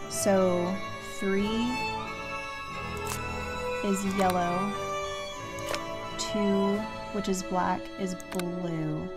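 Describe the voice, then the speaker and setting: Creaky-voiced woman streaming a game, thinking aloud. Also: medium pitch, fairly even intonation, very slow, long pauses. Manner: self-talking, with mild exclamations and sing-song filler syllables. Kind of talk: casual live gameplay commentary, thinking aloud. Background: music.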